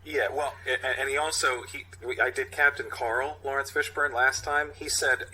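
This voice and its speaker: A man's voice: medium pitch, fairly even intonation, moderate pace, bright, forceful tone, slight background noise, low-quality recording. A man co-hosting a podcast, chatting casually and reading out script directions. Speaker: podcast co-host.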